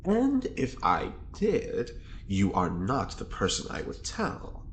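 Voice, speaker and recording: Theatrical, smug, gravelly prisoner. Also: deep voice, wildly swooping pitch, slow pace, a little hiss or hum, heavily acted. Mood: cool, bargaining. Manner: arch, flamboyant, teasing, grand phrasing. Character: theatrical, smug, flirty long-term prisoner, chess cheat. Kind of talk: audio drama acting.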